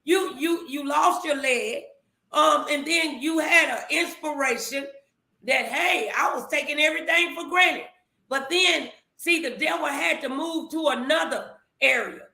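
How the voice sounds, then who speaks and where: A woman's voice: high voice, unhurried, vocal fry, sharp tone, roomy sound, rough recording. A woman giving an emphatic, preachy faith-based motivational talk, repeating herself as she drives points home.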